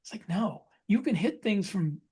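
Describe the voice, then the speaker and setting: A man's voice: sits high, animated, moderate pace, a little mellow, slightly rough. A man co-hosting a podcast in casual chat, explaining his opinions as he goes. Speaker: podcast co-host. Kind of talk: casual chat about guns and military life.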